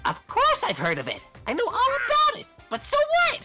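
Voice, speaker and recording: A woman's voice: very high voice, wildly swooping pitch, normal speed, sharp tone, soft background music, heavily acted. A woman voicing a smug, theatrical robot bear on a scoffing rant in an amateur game playthrough. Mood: scornful ranting. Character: cute high-pitched robot bear, smug and ranting.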